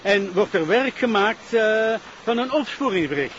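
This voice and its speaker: High-voiced, flustered Flemish public prosecutor. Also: male voice, expressive, moderate pace, crowd noise. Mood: formal, assertive. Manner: hesitant, formal, official. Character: flustered, self-important male public prosecutor. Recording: slightly dull television drama sound.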